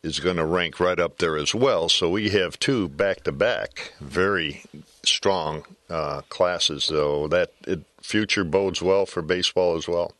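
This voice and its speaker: An older American man with a very low, bright and forceful voice talks sports in a casual podcast style. His delivery halts as he feels for the next word, and the sound is close and dry. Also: measured pace, dry recording. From south side of Chicago, USA. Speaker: baseball color commentator.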